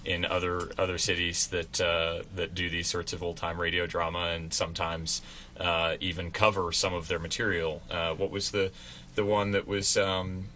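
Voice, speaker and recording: A man with a low but bright, forceful voice talks as a radio theater guest in a casual interview. He rambles informally, and there is noticeable background noise around him. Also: flat delivery, normal speed.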